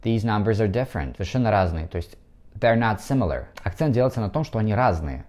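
Russian male English teacher, 30s, low warm voice, lapel mic. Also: animated. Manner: explains slowly, switches between languages, models pronunciation. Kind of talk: video lesson to camera. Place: bright indoor room.

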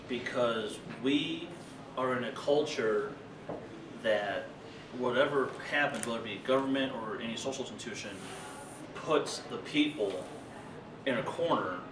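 A man in his thirties from rural Illinois, speaking in an animated, loose conversational way at a workshop table in a meeting room. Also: on the low side. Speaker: invited speaker. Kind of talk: conference workshop talk. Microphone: far camera. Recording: echoey room from far off, noisy.

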